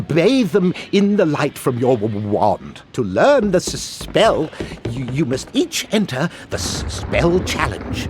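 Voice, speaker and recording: An actor playing a nervous wizard professor in a video game, with a heavy stutter and a jittery, halting delivery. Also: male voice, mid-range voice, slight background noise. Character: nervous, stammering adult male wizard professor. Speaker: defense teacher. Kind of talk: scripted video game cutscene acting.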